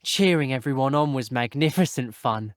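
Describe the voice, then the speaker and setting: A man's voice: mid-range voice, animated, normal speed, dry recording, clean studio sound, close to an everyday voice. A man voicing an earnest, chatty teenage wizard schoolboy in a video game, with a British accent and a polite, wry, old-fashioned turn of phrase. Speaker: voice actor. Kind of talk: scripted video game character voice clips.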